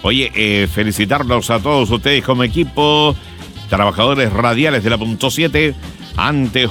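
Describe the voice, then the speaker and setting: A man's voice: mid-range voice, a bit bright. A middle-aged man with a Chilean accent hosting a radio show in casual, joking chat. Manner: jovial, breaks into mimicked voices. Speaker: radio host.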